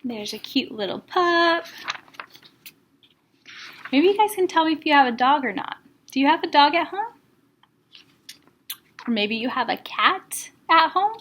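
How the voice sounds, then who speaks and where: High-voiced woman teacher talking to young children. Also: unhurried, long pauses, a little hiss or hum. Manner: expressive, reassuring, simple child-directed phrasing. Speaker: elementary school teacher. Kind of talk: read-aloud and chat addressed to young children.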